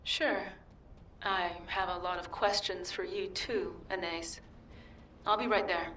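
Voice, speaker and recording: Resolute cyborg warrior, rumbly background. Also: female voice, steady tone, fairly bright tone, slight background noise, rough recording. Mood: composed, resolute. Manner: measured, serious, firm. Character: resolute female cyborg warrior, guarded, firm, quietly hurt. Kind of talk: dramatic video game dialogue.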